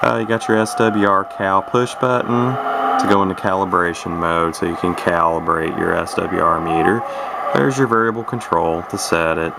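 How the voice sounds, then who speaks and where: Male hobbyist demonstrator, very low creaky voice, rambling and hesitant delivery, noisy homemade recording. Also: steady tone, moderate pace. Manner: rambling, hesitant, repeats words. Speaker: radio collector presenting his collection. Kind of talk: casual product demonstration. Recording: noisy amateur camera recording.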